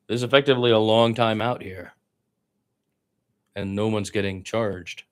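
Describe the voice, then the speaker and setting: A man's voice: on the low side, fairly even intonation, a little slow, smooth. A man giving informal radio-style basketball play-by-play, with blunt, conversational and opinionated asides. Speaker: play-by-play sports commentator.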